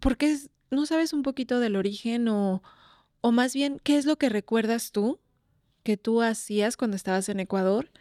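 A woman's voice: a little high, normal speed, mellow, no room sound, studio quality. Curious Mexican woman radio host. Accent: Mexican Spanish. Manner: friendly, curious questioning. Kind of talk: radio show hosting.

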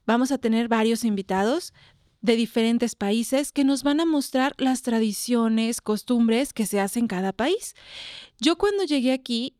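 A woman's voice: on the high side, measured pace, darkish tone, no echo, studio quality. A woman from Mexico with a Mexican accent, hosting a community radio show and asking her guests curious, friendly questions. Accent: Mexican Spanish. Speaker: radio host.

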